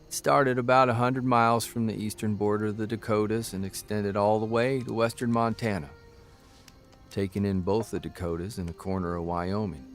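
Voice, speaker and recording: Male columnist played by an actor for audio drama: low-pitched, gravelly, stubborn, wry and easily riled. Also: fairly even intonation, faint music behind, natural voice. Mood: subdued, reflective. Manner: halting, irritable, sardonic. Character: weary, defensive male newspaper columnist, stubborn, wisecracking. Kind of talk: naturalistic audio drama acting.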